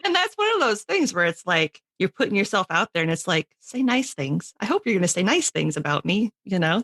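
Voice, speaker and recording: A woman's voice: medium pitch, sing-song, fairly quick, a bit bright, no room sound, studio quality. A woman hosting a podcast in a casual, supportive, affirming way with a guest. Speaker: podcast host. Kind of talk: casual chat.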